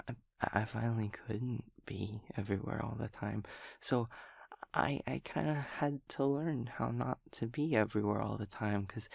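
A man playing a gentle, naive, scatterbrained young daemon friend in an audio roleplay, speaking in hesitant, childlike rambles. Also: medium pitch, gravelly, no room sound, studio quality. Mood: flustered, earnest. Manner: hesitant, stammering, rambling, childlike, softly giggling.

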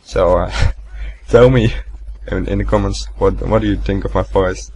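A young man giving casual gameplay commentary, rambling and swearing, with a deep, gravelly morning voice. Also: fairly even intonation, moderate pace, darkish tone. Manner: rambling, swearing, laughing at himself. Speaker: gaming commentator.

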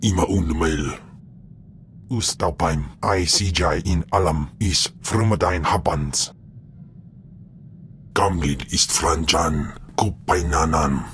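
An actor playing a grave alien in a video game, delivering invented speech in a solemn manner. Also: male voice, low voice, slow pace, pauses often, a bit bright, a little hiss or hum. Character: grave male alien speaking an invented tongue. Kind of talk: video game creature dialogue.

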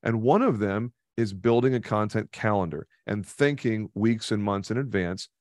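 A man's voice: fairly low pitch, normal speed, darkish tone, no room sound. Emphatic man on a studio mic. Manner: emphatic, coaching, repeats key points. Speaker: podcast guest. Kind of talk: conversational webinar interview. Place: home studio with neon sign. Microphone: studio mic on boom arm, headphones.

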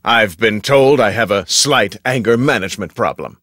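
An actor playing an arrogant, irritable research scientist in a video game, with pompous, formal and brusque speech. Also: male voice, lowish voice, normal speed, a bit bright, no echo, clean studio sound, natural voice. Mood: indignant. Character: irritable, arrogant, self-important male research scientist with explosive temper.

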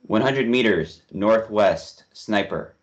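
A man's voice: lowish voice, expressive, slow pace. A man in his thirties demonstrating an app and making radio-style calls over a video call. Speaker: demonstrator playing field operator. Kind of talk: practical demo and radio calls. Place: indoor room on video call. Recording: dull video call audio.

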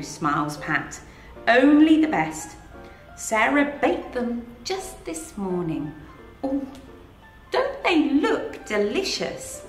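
A middle-aged woman with an English accent reading a children's picture book aloud in an animated, sing-song way, asking the children questions. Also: on the high side. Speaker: head of early years, reading aloud to children. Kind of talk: children's storybook read-aloud. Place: in front of a green screen with book pages. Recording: echoey room, faint music behind.

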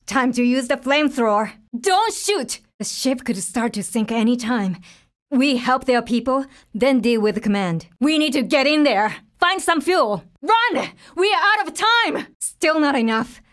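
A woman's voice: sits high, animated, unhurried, a bit bright. A woman playing a tough, determined young survivor of a zombie apocalypse in a video game, speaking in terse, urgent, businesslike lines. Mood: urgent, alarmed. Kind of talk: video game voice lines, short dramatic callouts.

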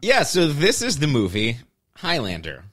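A man's voice: a little high, huge pitch swings, measured pace, a bit bright. A man co-hosting a podcast, joking and opinionated in casual chat. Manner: jokey, opinionated. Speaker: podcast co-host.

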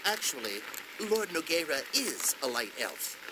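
An actor playing an eager, bossy young male scholar in a video game, brisk and self-assured. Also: a little high, sing-song, noisy background. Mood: earnest.

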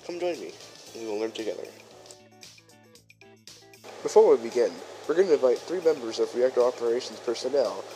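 A man playing a courteous chief engineer who presents a training film in formal, measured, instructional narration. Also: mid-range voice, animated, warm tone, close to an everyday voice, low-quality recording. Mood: welcoming. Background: music behind the voice.

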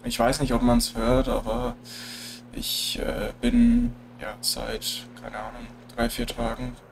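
A man's voice: medium pitch, slow pace, vocal fry, a little hiss or hum. A German-accented man with a stuffy nose from a cold, chatting casually while narrating his farming game. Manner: relaxed, chatty, frequent filler tags. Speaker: let's play gamer. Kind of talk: casual gameplay commentary.

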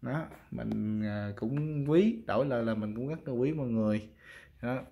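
A man's voice: mid-range voice, normal speed, creaky, lo-fi sound. A man with a Southern Vietnamese accent casually showing goods for sale, talking informally to viewers as friends. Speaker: fishing rod seller presenting products. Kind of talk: casual product showcase.

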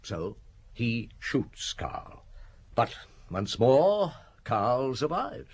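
A husky-voiced male detective in a vintage radio drama. His pitch moves animatedly as he lays out a case methodically, probing and pausing for effect. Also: big pitch swings, heavily acted. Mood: measured, triumphant. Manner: deliberate, probing, dramatic pauses. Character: shrewd, methodical male French police chief inspector. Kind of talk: old radio drama acting.

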